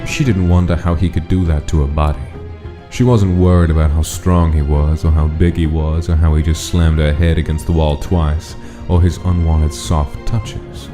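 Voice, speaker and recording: A man's voice: a bass voice, steady tone, moderate pace. A man narrating a dramatic story from a script, as the narrator of a comic dub. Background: music.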